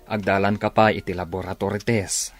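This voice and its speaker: A man with an Ilocano accent playing a calm doctor in a radio drama, speaking in a measured, reassuring way. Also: sits low, faint music behind.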